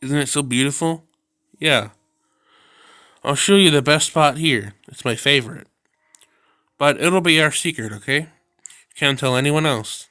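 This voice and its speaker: A man's voice: on the high side, a little slow, long pauses, bright, forceful tone, dry recording, studio quality. A man reading as a sweet, clingy young woman. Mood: dreamy. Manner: coaxing, affectionate. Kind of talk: reading a visual novel aloud.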